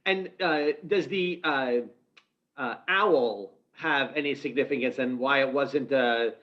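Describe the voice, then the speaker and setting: A man interviewing an author, asking polite questions with many soft fillers. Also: medium pitch, slow pace. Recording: dull lo-fi audio.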